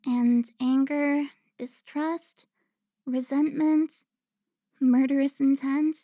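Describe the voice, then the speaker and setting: A woman playing a seductive, haughty vampire informant in an audio drama, teasing with drawn-out, theatrical delivery. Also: high voice, mellow, no echo, studio quality. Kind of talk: cinematic audio roleplay acting.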